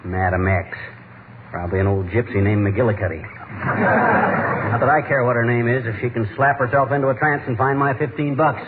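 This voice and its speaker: A man's voice: mid-range voice, fairly quick, phone-quality sound, natural voice. A man with an American accent playing a brash, grumbling, wisecracking husband in a 1940s radio comedy. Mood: scornful. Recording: very noisy, thin 1940s radio recording.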